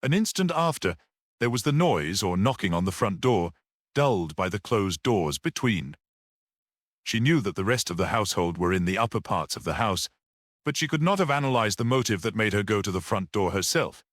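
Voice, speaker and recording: A man's voice: fairly low pitch, animated, moderate pace, no room sound, studio quality. A man reading a literary story aloud as a scripted audiobook narration.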